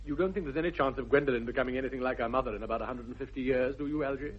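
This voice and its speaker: An actor playing an earnest, flustered young gentleman suitor with an upper-class English accent, polite and formal, in a 1960s British radio comedy. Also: medium pitch, rapid-fire, slightly rough, close to an everyday voice. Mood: exasperated. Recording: dull vintage radio recording.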